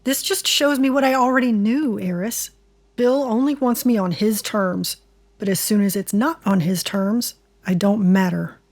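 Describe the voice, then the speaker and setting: A woman's voice: mid-range voice, normal speed, darkish tone, lightly acted. An actress playing a wry, wounded, stubborn middle-aged woman in an audio drama, with a sardonic, wisecracking way of talking. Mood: hurt, firm. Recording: music under the voice.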